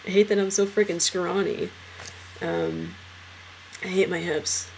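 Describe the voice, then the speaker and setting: A young transgender man in a casual personal vlog, talking hesitantly with many fillers and restarts, his trained low voice deepened further by a cold. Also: wildly swooping pitch, unhurried, a little mellow, a little hiss or hum, low-quality recording. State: voice lowered by illness.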